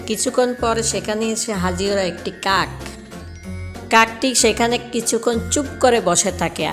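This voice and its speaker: Female narrator for cartoon fairy tale. Average pitch, scripted storytelling, prominent background music.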